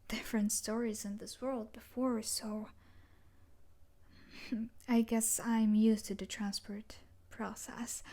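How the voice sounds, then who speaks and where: Slow, whispery obsessive yandere stalker played by a woman. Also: a little high, dark tone. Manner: breathy, slow, coaxing, menacing, often dropping to a whisper. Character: obsessive yandere stalker woman, a dark romance book character come to life. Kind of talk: intimate roleplay audio drama.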